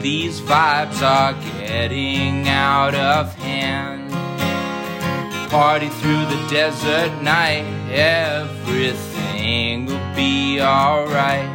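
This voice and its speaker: A woman sings a satirical protest song in a low voice. Her phrasing is very slow and drawn out, with prominent plucked guitar alongside. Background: plucked guitar music.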